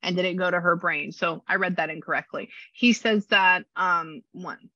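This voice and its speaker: A woman with a low voice and a slight rasp, chatting through a spirit reading and passing on messages. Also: moderate pace, sharp tone, no echo, dull audio. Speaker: psychic medium.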